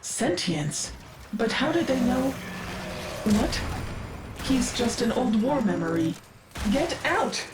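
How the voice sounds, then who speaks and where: An actress playing a confused, failing female AI archivist in a video game, speaking in halting, formal phrases. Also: on the high side, very animated. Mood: alarmed. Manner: halting, precise formal speech. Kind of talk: dramatic video game voice acting. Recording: echoing voice with loud game music.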